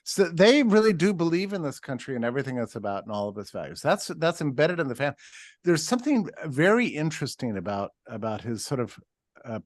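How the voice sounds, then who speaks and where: A man from Texas, chatting as a guest with a lively, swooping delivery and a middle-pitched voice. He restarts often and piles on asides while telling a story. The sound is dry and close, as over a video call. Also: no echo. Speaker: guest commentator. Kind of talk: casual conversational interview.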